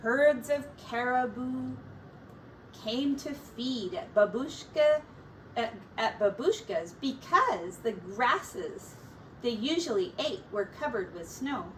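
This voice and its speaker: A woman reading a children's picture book aloud as a teacher, in a deliberate, expressive storytelling manner. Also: a little high. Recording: distant mic with faint background noise.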